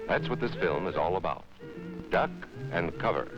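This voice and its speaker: Man, American, deep voice, animated intonation, instructive and emphatic, 1950s educational film narration, muffled old film audio. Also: moderate pace, music in the background. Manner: instructive, emphatic, addressing children directly. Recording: dull vintage 1950s film soundtrack.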